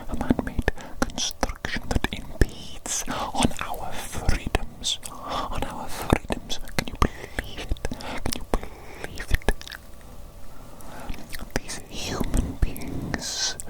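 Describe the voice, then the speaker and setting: A woman voicing an eccentric, theatrical evil doctor in a breathy whisper for an ASMR medical roleplay, often repeating words and phrases. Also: very high-pitched, rough recording. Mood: mock indignant.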